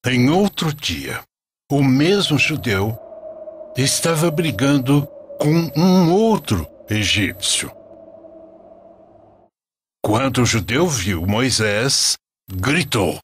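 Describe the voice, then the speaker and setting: A man narrating an animated religious story as a storyteller, solemn and deliberate, in a dramatic scripted style. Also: mid-range voice, slight background noise.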